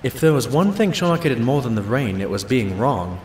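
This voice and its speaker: Audio drama narrator, loyal sidekick pony, male actor, storybook pacing, medium pitch, roomy sound. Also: big pitch swings, darkish tone, a little hiss or hum, natural voice. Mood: reflective. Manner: measured, storytelling, mildly amused. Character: loyal male pony sidekick recounting his detective friend's cases. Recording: roomy voice over faint music.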